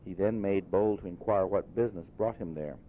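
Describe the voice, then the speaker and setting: A man narrating a literary story as an audiobook reader, in deliberate phrases. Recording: muffled phone-like audio, rumbling noise.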